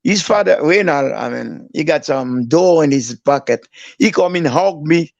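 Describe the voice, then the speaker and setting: Gravelly Haitian-accented man telling stories. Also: measured pace, dry recording. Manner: animated storyteller, acts out quoted dialogue with raised pitch. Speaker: guest. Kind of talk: casual storytelling. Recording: dry, dull lo-fi.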